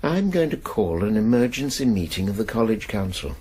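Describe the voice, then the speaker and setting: An actor with a British accent playing an older college Master in a radio drama, husky, formal, ponderous and dryly authoritative. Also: male voice, fairly low pitch, very animated, fairly quick, mellow. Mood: dry, deliberate.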